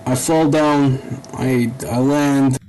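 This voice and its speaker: A man's voice: mid-range voice, fairly even intonation, dark tone, a little hiss or hum, low-quality recording. Rambling young man narrating gameplay. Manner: rambling, halting, profane asides. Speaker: gaming YouTuber. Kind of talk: casual let's-play commentary.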